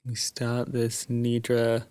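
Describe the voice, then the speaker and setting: A man guiding a sleep meditation in a soft, drowsy, soothing voice with long pauses, at times breathy and close to a whisper. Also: medium pitch, completely flat delivery, no echo. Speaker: meditation guide. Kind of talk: guided sleep meditation, Yoga Nidra.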